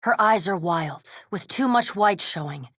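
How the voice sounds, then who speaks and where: A woman reading game narration in a measured, descriptive manner. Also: mid-range voice, expressive, a bit bright, slightly rough, dry recording. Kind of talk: scripted narration. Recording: dry studio recording.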